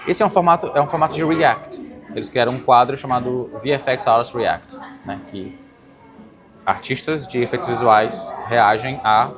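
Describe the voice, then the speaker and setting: A man with a Brazilian accent talking casually as an interviewer, explaining ideas and often checking that he is understood. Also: medium pitch. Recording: music playing behind the voice. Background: music.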